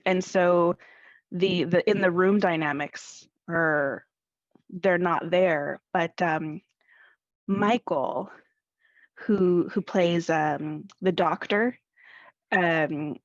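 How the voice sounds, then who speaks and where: A dry, slightly dull recording of a woman with a fairly low voice. She is an actor reminiscing in a casual interview. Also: very slow, pauses often, no echo.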